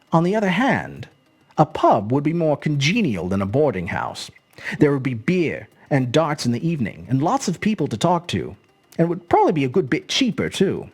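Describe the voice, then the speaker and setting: A storyteller narrating a scripted short story in an expressive, measured, dramatic manner. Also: medium pitch, husky. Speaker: storyteller, host.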